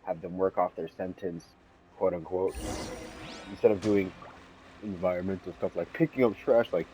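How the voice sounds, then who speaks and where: A man's voice: low voice, fairly even intonation, normal speed, background noise. A man casually chatting as he streams a game, slangy and offhand. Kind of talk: casual idle chat while gaming. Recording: game music behind. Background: video game music and dialogue.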